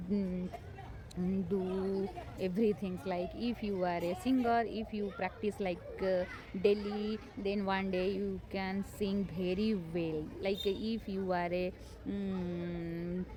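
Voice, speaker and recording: A woman's voice: mid-range voice, poor audio. A woman whose first language is not English gives unscripted learning tips as a homemaker, hesitant and encouraging, with many fillers and restarts. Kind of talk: unscripted motivational talk to camera. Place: home.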